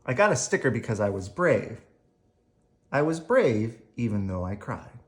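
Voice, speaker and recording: An adult reading a picture book aloud to children in an expressive storytelling style. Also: medium pitch, normal speed.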